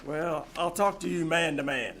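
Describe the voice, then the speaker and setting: An actor playing a flustered, shady husband with a hesitant, stammering delivery in an old-time radio play. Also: male voice, a little high, moderate pace, vocal fry, noisy background. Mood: uneasy confessing. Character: flustered, shady wealthy husband with a guilty secret.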